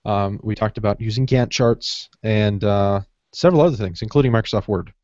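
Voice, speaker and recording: A man with a fairly low voice chats casually as a podcast co-host. The recording is very dry and somewhat muffled. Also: a little fast, a little mellow, no echo.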